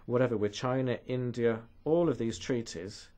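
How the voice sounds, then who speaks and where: A man from Canada speaking at a political conference with an earnest, urgent tone. His voice sits at an ordinary middle pitch. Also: moderate pace, warm tone.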